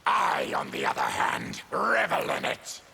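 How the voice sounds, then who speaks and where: A proud, bitter mutant warrior from a fighting game. The voice is a heavily gravelled snarl, sharp and forceful, swinging widely in pitch. Every line is deliberate and threatening, with faint noise behind it. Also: male voice, huge pitch swings, heavily acted. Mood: vengeful. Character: savage diseased mutant warrior, proud bitter former soldier. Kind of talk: video game fighting character dialogue.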